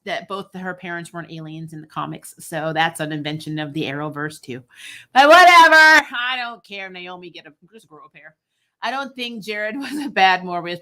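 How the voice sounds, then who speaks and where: A woman's voice: on the high side, pushed and bright. A woman hosting a casual film chat, talking in a blunt, sarcastic, slangy way. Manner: blunt, sarcastic, slangy, laughs through stories. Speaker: channel host reviewing films. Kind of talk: casual opinionated film discussion.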